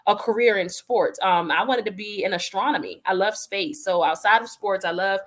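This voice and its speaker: A woman's voice: mid-range voice, moderate pace. A woman in her thirties from the Washington, D.C. area, a sports media guest chatting casually and animatedly with many fillers and laughs. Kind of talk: casual interview conversation.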